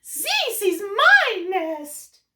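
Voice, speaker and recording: A woman voicing excitable, pushy female farm birds in a storybook, with shrill, drawn-out exclamations. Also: heavily acted. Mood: possessive. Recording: roomy echo, distant mic.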